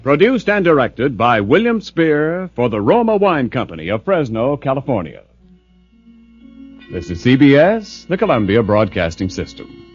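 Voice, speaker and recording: Husky, animated vintage radio announcer man. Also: mid-range voice, normal speed. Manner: formal, polished. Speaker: announcer and host. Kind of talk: 1940s scripted radio announcing. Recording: dull vintage radio broadcast, faint music.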